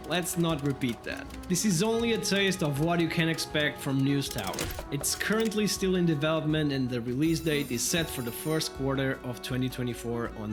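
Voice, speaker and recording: A man reviewing a video game in a scripted, comedic narration with a wry, tongue-in-cheek manner. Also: on the high side. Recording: music behind the voice. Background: background music.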